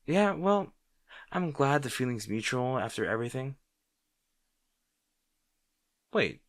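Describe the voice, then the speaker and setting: A man's voice: mid-range voice, sing-song, moderate pace, no room sound, studio quality, close to an everyday voice. A man playing a wry, insecure, secretly fond supervillain rival in a roleplay audio drama, talking to the listener in a hesitant, conversational, sardonic way. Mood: surprised, touched.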